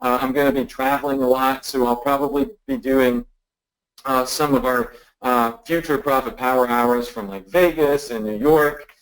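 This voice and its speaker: A man's voice: medium pitch, lively intonation, moderate pace, rough recording. A man in his thirties hosting a live online marketing webinar from his office, chatty and upbeat, with plenty of ums and restarts. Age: 30s. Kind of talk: casual live webinar teaching. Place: office at a stand-up desk. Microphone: desk mic on a live webinar.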